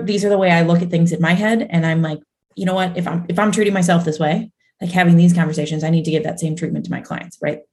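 A young woman co-hosts a casual fitness podcast, her voice sitting a bit low. She talks in loose, friendly runs, looping back with fillers and restarts. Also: darkish tone. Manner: chatty, full of fillers and restarts. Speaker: podcast co-host. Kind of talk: casual chat.

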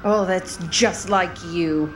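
An actress playing a flirtatious, sharp-tongued femme fatale in a theatrical noir radio comedy. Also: female voice, lowish voice, slow pace, slight background noise. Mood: flirty, indignant.

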